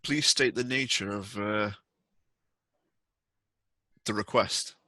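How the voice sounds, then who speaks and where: A man's voice: on the low side, takes it slowly, bright, forceful tone, no echo. A man voicing an officious, unhelpful android station worker in formal stock phrases for a tabletop game. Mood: flatly officious.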